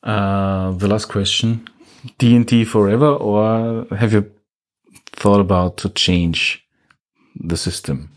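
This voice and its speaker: Guy interviewing on a podcast, kinda low voice, takes his time, casual. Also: steady tone, takes it slowly.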